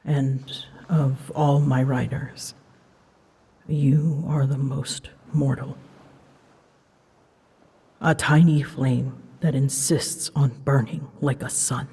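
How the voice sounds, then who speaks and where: A woman playing an ancient female dragon in a fantasy ASMR drama, solemn and tender, speaking softly in measured, formal phrases and often near a whisper. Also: a bass voice, steady tone, exaggerated voice. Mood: tender, earnest. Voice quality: soft, breathy, husky, often dropping into a whisper. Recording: long cavernous reverb.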